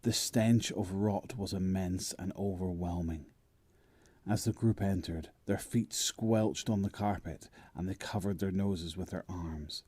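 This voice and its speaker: A man acting as the narrator of a zombie horror audio drama, telling it in a measured, descriptive way. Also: deep voice, no echo. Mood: grim, suspenseful. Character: male narrator of a grim zombie horror tale. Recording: loud music under the voice. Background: music.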